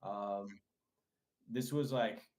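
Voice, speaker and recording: Rambling low-voiced male producer. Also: very slow, a little mellow. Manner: rambling, many likes and restarts, enthusiastic. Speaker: music producer guest. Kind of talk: casual interview chat.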